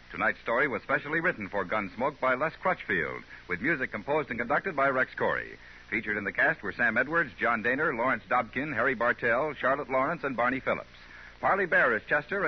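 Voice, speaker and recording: American male announcer, 1950s radio credits read. Husky edge, scripted delivery, thin dulled vintage sound. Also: mid-range voice. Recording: muffled 1950s radio recording.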